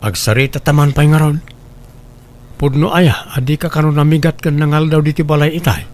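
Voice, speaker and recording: An earnest young husband in a comedy drama, played by a man, his voice a little high for a man. He questions people in an Ilocano Filipino accent. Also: steady tone, brisk, a little mellow, lightly acted. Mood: questioning.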